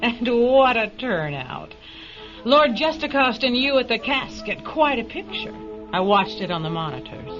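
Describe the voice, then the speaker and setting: A woman's voice: fairly high pitch, big pitch swings, measured pace. An actress playing a haughty, bigoted woman supervisor in a radio drama, sneering with mock sweetness at an underling. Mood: mock-sweet, taunting. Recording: dull radio recording with music behind.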